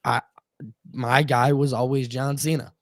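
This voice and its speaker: Animated, swearing man in his twenties. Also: mid-range voice, unhurried, dry recording, studio quality. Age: 20s. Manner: animated, swears, repeats words. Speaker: co-host. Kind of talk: casual live-stream chat.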